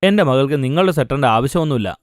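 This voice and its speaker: A man's voice: mid-range voice, expressive, very fast, no echo, studio quality, lightly acted. A man playing a stern, angry, traditional father who scolds and domineers in a cartoon story. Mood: angry, dismissive. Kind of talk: dramatised cartoon story dialogue.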